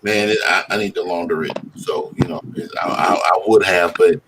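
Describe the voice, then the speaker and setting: Man, lowish voice, casual chat, clearly noisy line with clicks. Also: fairly even intonation, moderate pace. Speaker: panelist. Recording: noisy online call with clicks.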